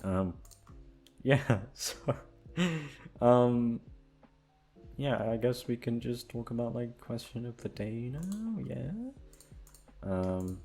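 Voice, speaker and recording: A man's voice: medium pitch, darkish tone. A young man chatting casually to his listeners in an informal, rambling, sweary way. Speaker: audio channel host. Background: guitar music.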